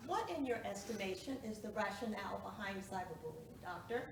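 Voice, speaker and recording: Woman as trial lawyer, stage drama: formal, probing, lively intonation; echoing room with some background noise. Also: mid-range voice, a little fast, natural voice. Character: formal female lawyer questioning a witness. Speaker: actor in a one-act play. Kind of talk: stage courtroom drama acting. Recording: echoey room, distant mic, noisy.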